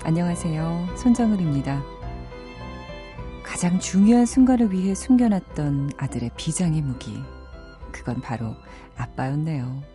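A woman's voice: low-pitched, expressive, moderate pace, dark tone, soft background music. A woman in her thirties from South Korea hosting a radio program, moving between scripted narration and chatty talk, close on a studio microphone. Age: 30s. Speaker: radio host of a movie program.

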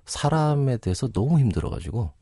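Middle aged guy on a radio interview, explains things thoughtfully, mellow tone, very lively intonation. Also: mid-range voice, fairly quick, creaky, slightly rough, no echo, clean studio sound. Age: 40s or older. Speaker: psychiatrist guest.

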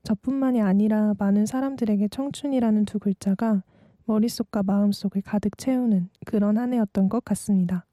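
Young woman, 20, late-night radio DJ; gentle, reflective scripted delivery, deep mellow timbre, dry sound. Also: fairly even intonation, brisk, no room sound.

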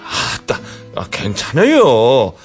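A man's voice: medium pitch, huge pitch swings, slow, soft background music, voice pushed down. A man playing an eager, shy new bridegroom in a radio skit, with a broad Jeolla dialect and a hesitant, stammering, folksy manner. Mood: bashful, excited. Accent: exaggerated southwestern Korean (Jeolla) dialect.

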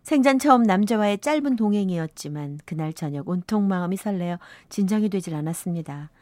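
A woman's voice: medium pitch, animated, fairly quick, mellow, no room sound, clean studio sound, natural voice. A woman playing a wistful narrator in her fifties, telling a sentimental first-love story for a radio drama. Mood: wistful, reflective. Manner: sentimental, reflective.

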